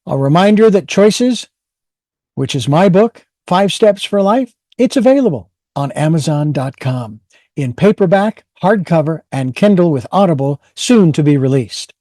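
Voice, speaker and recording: A male show host with a somewhat high but mellow, soft-edged voice. He rambles a little, restarting sentences and filling gaps with "uh". The sound is close and dry, with no room around it. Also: lively intonation, measured pace, no room sound. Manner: rambling with many fillers and restarts. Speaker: podcast host. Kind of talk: hosting an interview show.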